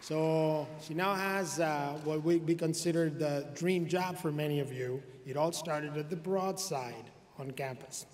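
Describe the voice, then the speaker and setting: A man's voice: on the high side, darkish tone, a little hiss or hum. Middle-aged man at a podium, echoing hall. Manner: formal, halting with frequent fillers. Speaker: university official introducing the commencement speaker. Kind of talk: ceremonial introduction speech. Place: large arena commencement ceremony, indoors. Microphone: podium microphone. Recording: long reverb of a large hall.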